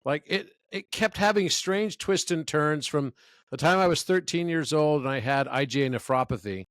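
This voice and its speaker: A man's voice: a little high, normal speed, a bit bright, dry recording, studio quality. A man in his fifties, a guest in a conversational interview, telling stories with enthusiasm. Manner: enthusiastic, storytelling.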